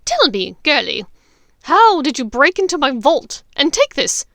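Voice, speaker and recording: A woman voicing a crazed, furious witch in an audiobook story, seething and shouting menacingly. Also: high-pitched, very animated, unhurried, no room sound, pitched above the performer's own voice, heavily acted. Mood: seething fury. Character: crazed, furious adult witch interrogating a captive.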